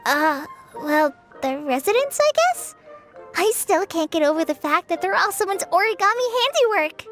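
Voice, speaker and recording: A woman's voice: very high-pitched, big pitch swings, normal speed, fairly bright tone, heavily acted. A woman voicing a shy, earnest teenage forest ranger girl in an English anime game dub. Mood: startled, shy. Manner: hesitant, polite, earnest. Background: game music.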